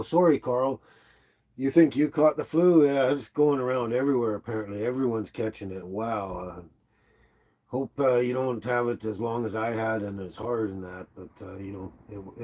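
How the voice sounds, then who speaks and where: A man's voice: mid-range voice, breathy edge. A middle-aged man with a stuffy nose, hosting a casual livestream from home and chatting with viewers by name. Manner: rambling, relaxed, talks to viewers by name. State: stuffy from the flu. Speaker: livestream host. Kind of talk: casual livestream chat reading comments. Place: at home indoors. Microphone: laptop microphone.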